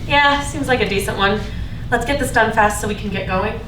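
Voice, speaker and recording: A woman's voice: medium pitch, a little fast, close to an everyday voice. A woman reading the part of a guarded, matter-of-fact sixteen-year-old housekeeper in a staged play. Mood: defensive. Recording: distant mic, echoey noisy room.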